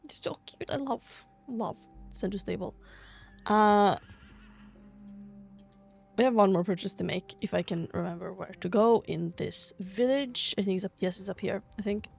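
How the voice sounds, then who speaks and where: A woman's voice: medium pitch, normal speed, vocal fry, a little mellow, faint music behind. A woman from Sweden chatting casually over gameplay in a gushing, rambling way, sounding a bit tired. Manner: gushing over cute things. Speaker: gaming vlogger.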